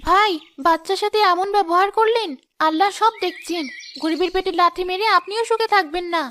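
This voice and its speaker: A woman voicing a sorrowful poor mother, high voice. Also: a little fast, bright, forceful tone, slight background noise. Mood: reproachful. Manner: pleading, sorrowful. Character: poor tearful young mother. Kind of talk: cartoon voice acting.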